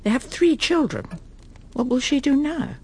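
A woman's voice: high-pitched, big pitch swings, normal speed, mellow, slight background noise. An actress in a radio drama playing a proper, judgmental English wife and mother with a clipped, haughty manner. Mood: haughty, disapproving. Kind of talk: BBC radio drama acting.

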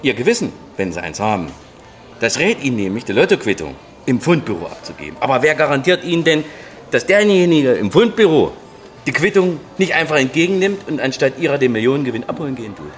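A man's voice: mid-range voice, big pitch swings, quick pace, soft background music, close to an everyday voice. An actor playing an opinionated interviewee who explains things in a didactic, colloquial way, checking that he is followed. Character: opinionated male interviewee lecturing on moral dilemmas.

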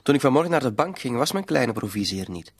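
Radio drama: mock-courteous blackmailer, gravelly creak, mid pitch, greedy, quietly menacing. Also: male voice, very animated, fast talker. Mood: coolly threatening. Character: smooth-talking, polite, greedy male blackmailer. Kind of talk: Dutch-language radio drama acting.

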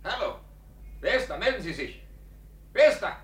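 An actor in a 1950s German radio play voicing a formal, imperious gentleman collector with a husky voice. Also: high-pitched, sing-song, moderate pace, exaggerated voice. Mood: suspicious. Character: suspicious, haughty English gentleman collector with weak heart.

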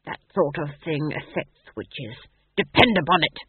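A man's voice: on the high side, lively intonation, unhurried, breathy edge, no room sound. A man voicing a smug, madly scheming duke in an audiobook, declaiming his orders imperiously. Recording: narrow, tinny phone-like sound.

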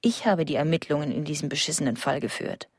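An actress playing a bitter, scornful wife of a murderer in a radio drama, speaking in a caustic, terse way. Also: female voice, low-pitched, fast talker, breathy edge, no room sound, clean studio sound.

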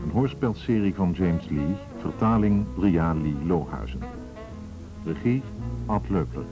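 A male announcer reads radio drama credits. His voice is very low and unhurried. Prominent music plays behind him. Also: animated. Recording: old radio recording with loud music.

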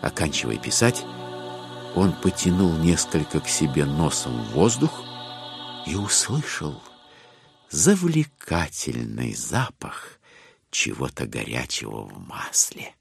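A man's voice: extremely low pitch, wildly swooping pitch, takes it slowly, gravelly, over music. A man narrating a literary audio play as a storyteller, in a studio production.

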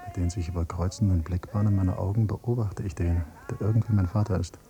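An actor playing a grim, sardonic narrator in a radio drama, speaking in a dry, clipped, matter-of-fact way. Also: male voice, low-pitched, steady tone, quick pace.

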